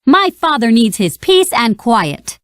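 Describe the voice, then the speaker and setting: Casting: female narrator as young storybook prince, boyish, eager, bossy, lively pitch, dry recording. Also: slow pace, no room sound, exaggerated voice. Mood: commanding. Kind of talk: storybook character voice in read-along narration.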